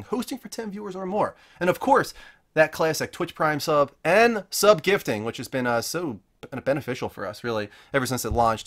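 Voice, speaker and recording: A man's voice: mid-range voice, fairly bright tone. A man hosting a livestream talk show in a casual, chatty, joking way. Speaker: host of a weekly gaming talk show.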